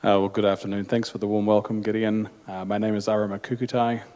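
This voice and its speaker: A man with a New Zealand accent, introducing himself as a panelist at a conference, measured and unhurried with fillers. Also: on the low side, level tone, a little mellow. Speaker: venture capital panelist. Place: conference hall stage.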